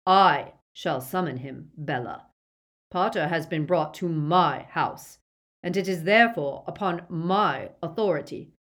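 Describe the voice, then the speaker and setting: A woman's voice: deep voice, a little slow, fairly bright tone. A woman voicing a proud, anxious villainous aristocratic father in an audiobook, urgent and pompous. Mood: indignant, commanding. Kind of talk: audiobook character acting.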